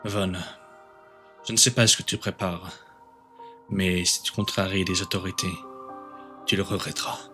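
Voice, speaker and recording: A man's voice: sits low, steady tone, normal speed, long pauses, bright, forceful tone, faint music behind. A man playing a rigid, menacing agent of the authorities in an amateur webtoon dub, formal and insistent. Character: menacing male authority agent, rigid, coldly polite, disciplinarian.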